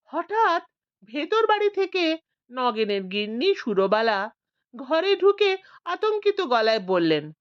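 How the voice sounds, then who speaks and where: A woman's voice: high voice, sing-song, slow pace, long pauses, dry recording, muffled recording. A woman narrating a scripted audiobook story, voicing the village characters expressively.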